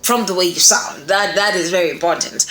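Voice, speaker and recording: A woman's voice: on the low side, brisk, fairly bright tone. Young woman teaching in an echoey room. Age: young adult. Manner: emphatic, often checking in with "okay?". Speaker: voice acting instructor, presenter. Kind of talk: instructional talk to camera. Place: small indoor room, seated. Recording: echoey room with faint music.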